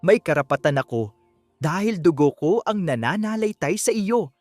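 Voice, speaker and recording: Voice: mid-range voice, animated, moderate pace, darkish tone, no room sound, studio quality, faint music behind, close to an everyday voice. A narrator voicing a haughty, stern older rich mother, commanding and threatening, in an audiobook love drama. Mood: cold resolve.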